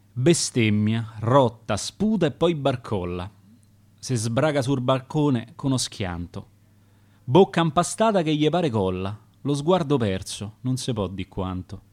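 Roman man reciting sonnets with lively pitch. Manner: rhythmic recitation of rhymed sonnets. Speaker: poet reading his work. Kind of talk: radio dialect poetry reading.

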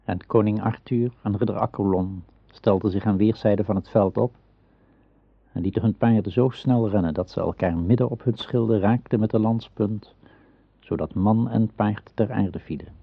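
A man narrating a radio play as a storyteller, in a measured storybook manner. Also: fairly low pitch, creaky, husky, dry recording. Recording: slightly dull radio play recording.

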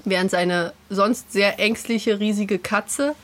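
A woman co-hosting a podcast, chatting casually and nostalgically in a slightly husky voice. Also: mid-range voice, steady tone, slow pace. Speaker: podcast co-host.

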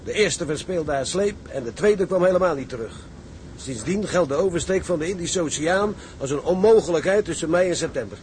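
An actor playing a blunt, sceptical and practical ship's officer in a seafaring audio drama, with a dry, doubting manner. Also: male voice, fairly high pitch, expressive, fairly quick, darkish tone, slight background noise, lightly acted. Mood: grave, practical. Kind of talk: Dutch audio drama acting.

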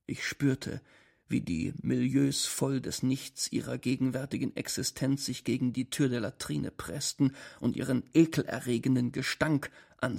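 A man reading a first-person short story dramatically, with deliberate phrasing and shifts in volume. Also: mid-range voice, vocal fry, a little mellow, husky.

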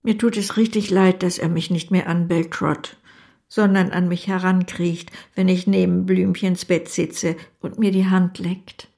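Creaky-voiced, commanding older aunt. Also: female voice, lowish voice, flat delivery, unhurried, warm tone. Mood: wistful. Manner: decisive, matter-of-fact. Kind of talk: German radio drama acting.

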